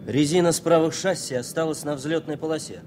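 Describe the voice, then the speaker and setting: An actor playing a young, dutiful rookie radio operator reporting formally in a radio play. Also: male voice, on the high side, fairly quick, a little mellow, natural voice. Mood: urgent, formal. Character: young, dutiful male radio operator on his first flight. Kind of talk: 1970s Soviet radio play acting. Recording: faintly noisy vintage radio-play recording.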